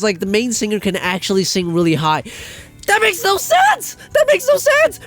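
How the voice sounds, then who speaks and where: High-pitched, animated man on an exasperated rant. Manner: animated, exasperated, emphatic, blunt. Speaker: video creator ranting about music production. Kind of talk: casual, exasperated rant commentary with swearing.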